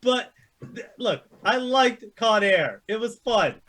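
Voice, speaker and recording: A man's voice: high-pitched, sing-song, takes it slowly, a bit bright. A man on a panel, debating and ranting casually in an emphatic, argumentative, profane manner.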